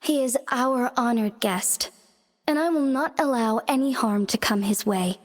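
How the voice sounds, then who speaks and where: An actress playing a calm, dignified young female magistrate in a game cutscene, speaking in a composed, formal and measured way. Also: on the high side, big-room sound, lightly acted. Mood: stern. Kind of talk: video game cutscene voice acting.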